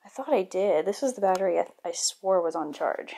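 A young adult woman hosting a casual exploring vlog, chatty with uneasy, hushed asides. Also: on the low side, expressive, brisk. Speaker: vlog host exploring abandoned buildings. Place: abandoned house and its yard. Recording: noisy handheld camera audio with clicks.

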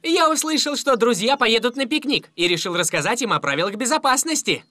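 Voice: mid-range voice, lively intonation, fairly quick, bright, forceful tone, dry recording, studio quality. An actor voicing a friendly, responsible young police car hero in a children's cartoon dub, instructive and encouraging. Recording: music behind the voice.

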